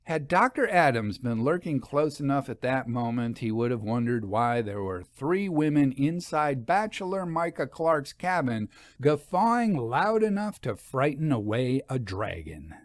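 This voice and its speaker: A man's voice: mid-range voice, very animated, unhurried, few pauses, no echo. Man narrating a story, dry sound.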